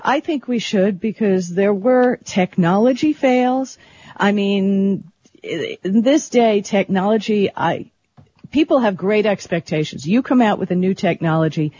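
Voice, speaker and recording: A woman co-hosts a casual tech talk show. She is upbeat, laughs often, and keeps the conversation moving in a medium-pitched voice. The sound is a bit dull and lo-fi. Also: measured pace, darkish tone.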